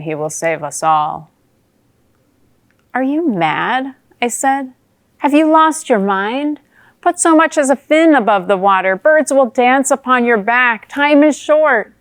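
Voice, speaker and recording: A woman performing a dramatic monologue as a wry, watchful female newt, in measured theatrical storytelling. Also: fairly low pitch, clean tone, dry recording, close to an everyday voice. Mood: calm, assured, then incredulous, urgent.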